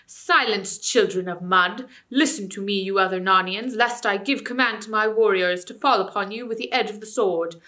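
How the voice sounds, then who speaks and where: A woman's voice: medium pitch, normal speed, few pauses, pushed and bright, lightly acted. A woman voicing a stern male warrior captain who thunders orders at a crowd. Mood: commanding. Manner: thundering, commanding.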